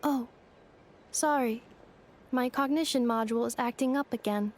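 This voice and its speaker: A courteous young female android voiced by a woman in a video game. Her voice is fairly high, with an earnest, formal, matter-of-fact delivery. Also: moderate pace, darkish tone, natural voice. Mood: apologetic, puzzled.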